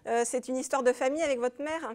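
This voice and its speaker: A woman's voice: sits high, fast, darkish tone. A woman hosting a TV interview, prompting her guest and finishing his sentences. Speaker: TV host.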